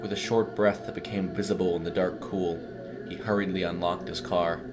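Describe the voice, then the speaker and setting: A man's voice: low voice, little pitch movement, moderate pace, music in the background. A man reading a scripted short story as a dramatic narrator.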